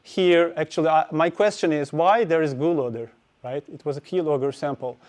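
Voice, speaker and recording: A man's voice: a little high, fairly quick, a little mellow. A man giving a technical conference talk, hesitant, with many fillers and restarts. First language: Turkish. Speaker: conference speaker.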